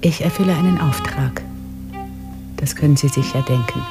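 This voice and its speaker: Low-voiced, cool hitwoman. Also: normal speed. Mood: cool, businesslike. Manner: calm, matter-of-fact. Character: polite, cool, businesslike female contract killer. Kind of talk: radio drama acting. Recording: loud string music behind voice. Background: string music.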